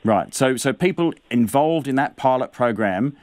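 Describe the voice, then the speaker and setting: A man's voice: medium pitch, animated, normal speed, darkish tone, dry recording. A man in his thirties with a New Zealand accent, presenting the news and pressing an interviewee with probing questions in a radio studio. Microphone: studio mic on a boom.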